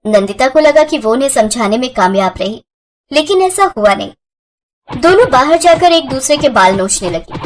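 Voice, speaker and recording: A woman's voice: sits high, expressive, normal speed, a little hiss or hum. A woman narrating a scripted family moral story.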